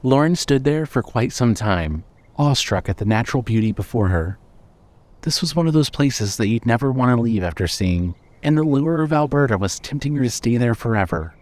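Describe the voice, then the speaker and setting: A man narrating scripted fiction as a storyteller, in a measured way. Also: mid-range voice, darkish tone.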